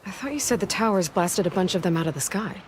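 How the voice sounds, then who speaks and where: A woman playing a determined, reassuring young warrior in a video game, speaking in an earnest, halting and hushed way. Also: medium pitch, animated, a little hiss or hum, close to an everyday voice. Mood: practical, focused. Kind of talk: video game cutscene acting.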